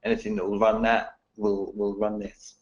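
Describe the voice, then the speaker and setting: A man with a croaky voice answering technical questions in a webinar, explaining in a steady way. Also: on the low side, moderate pace, echoey room, dull audio.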